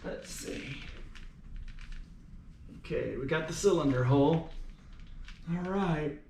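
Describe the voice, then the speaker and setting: A man's voice: fairly high pitch, slow, vocal fry, a little mellow. A man talking casually and unscripted in a folksy way as he works on a car, half muttering to himself and half explaining to the camera. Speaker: DIY car repair vlogger. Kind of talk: casual unscripted talk while working. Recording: echoey, noisy, clicking, uneven mic distance.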